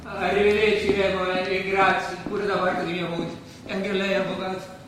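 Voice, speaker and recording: A man's voice: high voice, little pitch movement, normal speed, lightly acted. An actor playing a deferential, compliant refugee family man in a stage drama. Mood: grateful. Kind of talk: staged radio drama acting. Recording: echoey stage from far off, noisy.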